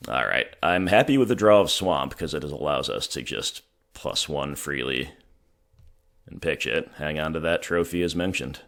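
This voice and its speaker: Hoarse deep-voiced man commenting on gameplay. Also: expressive, moderate pace, fairly bright tone. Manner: thinking aloud, wry, self-mocking. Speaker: gaming channel host.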